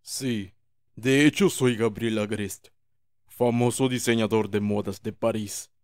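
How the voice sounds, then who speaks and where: A man's voice: fairly low pitch, fairly even intonation, measured pace, smooth, no echo, lightly acted. Man acting cold villain father, dry sound. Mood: cold, composed. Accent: Latin American. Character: cold, imperious middle-aged villain, fashion-designer father. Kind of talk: Spanish-language comic fandub acting.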